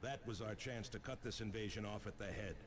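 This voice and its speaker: An actor playing an earnest space marine sergeant who reports formally and urgently in a sci-fi game. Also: male voice, lowish voice, a little fast, a little hiss or hum, close to an everyday voice. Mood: focused. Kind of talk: sci-fi game military dialogue.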